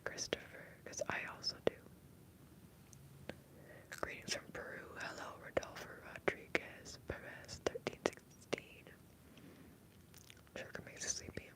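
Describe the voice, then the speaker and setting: A woman hosting an ASMR live stream, whispering softly as she rambles and greets viewers in the chat. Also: a little slow, long pauses, poor audio. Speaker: ASMR livestream host.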